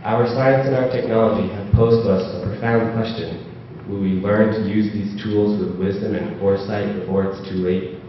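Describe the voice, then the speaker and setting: A man's voice: on the low side, fairly even intonation, moderate pace, hardly any pauses, a little hiss or hum. A man speaking from the floor at a panel event, hesitant with many fillers, reading quotes aloud with more force. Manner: hesitant with many ums, rising and louder when quoting. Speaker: audience member. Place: large auditorium. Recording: echoey hall from far off, dull.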